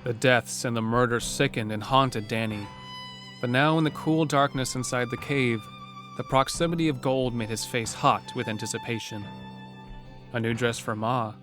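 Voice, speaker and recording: A man's voice: mid-range voice, steady tone, moderate pace, a bit bright. Casting: male narrator for scripted horror fiction, mid-range voice, set over a prominent music bed. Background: music under the narration.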